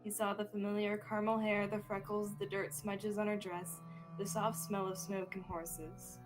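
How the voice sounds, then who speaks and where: A woman's voice: medium pitch, level tone, moderate pace, poor audio. A young woman reading scripted narration as the storyteller of a student radio drama. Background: soft music.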